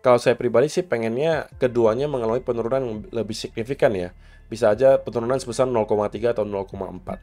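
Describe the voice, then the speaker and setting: A man with a slightly hoarse, rough voice from a cough explains market data in a steady, casual way, speaking to his viewers as friends. He sits at a medium pitch, and faint music plays softly behind him. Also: quick pace. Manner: explains steadily, mixing English market terms. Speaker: crypto market commentator.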